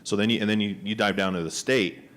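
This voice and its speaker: A man's voice: lowish voice, fairly even intonation, fast, fairly bright tone. A man, a small business agency official, giving conversational panel remarks with frequent fillers and restarts. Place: conference room panel.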